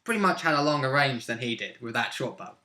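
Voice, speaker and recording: A man's voice: mid-range voice, expressive, quick pace, sharp tone. A man chatting casually and jokily as a player looking back on a tabletop roleplaying game. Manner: jokey, chuckles often as he talks. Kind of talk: casual chat.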